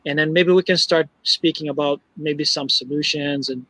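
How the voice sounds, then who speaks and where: A man hosting a podcast, framing his questions in a halting way with many restarts. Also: on the high side, fairly even intonation, a bit bright, no room sound, poor audio. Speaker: podcast host. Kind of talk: casual interview questions.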